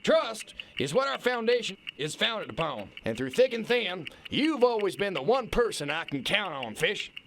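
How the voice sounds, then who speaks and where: A man's voice: high voice, sing-song, moderate pace, creaky, hardly any pauses, a bit bright, slight background noise, heavily acted. A man playing a failing, self-important kingpin in an absurdist audio drama, guilt-ridden and rambling, stammering and repeating his words. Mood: earnest, remorseful.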